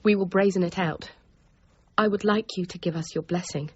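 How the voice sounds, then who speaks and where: An actress playing a lovestruck but determined young gentlewoman in a period radio drama, composed and candid. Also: on the low side, steady tone, normal speed, husky, highs cut off. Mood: tender, composed. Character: lovestruck, resolute young gentlewoman, Newton's niece.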